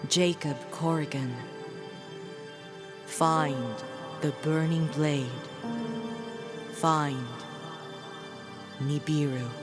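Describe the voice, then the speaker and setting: Very slow, solemn otherworldly summoning voice. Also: male voice, on the high side, steady tone, music in the background. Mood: ominous, commanding. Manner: portentous, very slow. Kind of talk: scripted fantasy audio drama acting.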